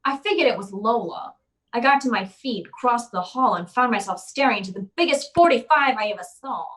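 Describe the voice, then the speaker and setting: A woman's voice: on the high side, animated, brisk, a bit bright, slight background noise. A woman playing a hardboiled private eye, narrating a noir radio play in a dry, wry, tough way.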